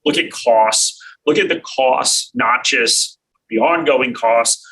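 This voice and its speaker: An American man hosting a live finance talk, answering viewer comments in a relaxed way and thinking aloud with many fillers. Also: medium pitch, slow pace, breathy edge, distant mic, low-quality recording. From United States. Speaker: host answering viewer questions.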